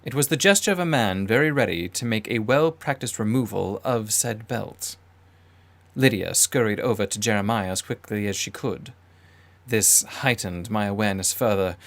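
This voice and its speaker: A man reading dramatic first-person fiction in a deliberate, literary manner. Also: fairly low pitch. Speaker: audiobook narrator.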